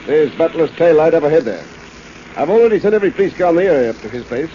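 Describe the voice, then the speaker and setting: Animated, brisk police commissioner played by a man. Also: on the high side, lightly acted. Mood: unworried. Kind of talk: 1940s radio acting. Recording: muffled, hissy old radio recording.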